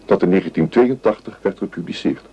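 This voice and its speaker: An actor playing a dry reporter, reading scripted documentary narration in a radio play. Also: male voice, low voice, fairly quick, warm tone, breathy edge, muffled recording. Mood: matter-of-fact.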